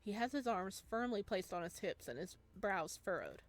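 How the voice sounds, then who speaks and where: A woman reading a visual novel aloud as a let's-play host, with expressive narration and casual asides. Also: medium pitch, normal speed.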